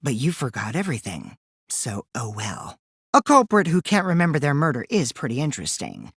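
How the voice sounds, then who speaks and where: A man's voice: mid-range voice, huge pitch swings, fairly quick, slightly rough, dry recording, clean studio sound, exaggerated voice. A man voicing a mischievous, childish teenage boy who lies and schemes, in a youthful, teasing, theatrical video game performance. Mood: low, sinister. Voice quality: boyish, youthful put-on voice. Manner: teasing, theatrical, swinging from singsong to hushed menace. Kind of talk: English video game voice acting.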